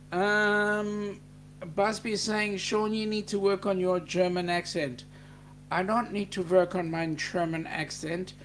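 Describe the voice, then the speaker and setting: An Australian man hosts a casual livestream, reading chat aloud and greeting viewers by name with an easy, joking manner. He talks at an unhurried, slow pace. A faint hiss sits under his voice. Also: high-pitched.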